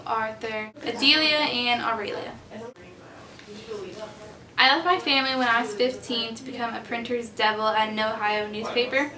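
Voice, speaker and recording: A woman's voice: on the high side, roomy sound, close to an everyday voice. A young woman playing a male satirist author on a school mock talk show, matter-of-fact.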